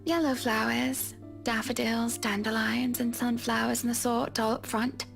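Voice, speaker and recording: A woman voicing a gentle, polite young wife in a game who speaks softly with quiet regret. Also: on the high side, fairly even intonation, measured pace, creaky, soft background music. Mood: wistful. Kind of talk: voiced video game dialogue.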